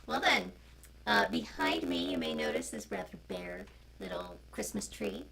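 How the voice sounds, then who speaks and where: A woman's voice: very deep voice, huge pitch swings, moderate pace, gravelly, crowd noise. A woman storyteller and co-host who chats informally and reads a picture book aloud, on a noisy live-stream recording.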